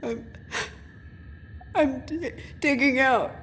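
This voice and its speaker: A woman's voice: high voice, extremely slow, fairly bright tone, slight background noise, exaggerated voice. A woman acting in an audio drama as an anxious, weary, earnest young traveler, hesitant and plaintive. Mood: shaken.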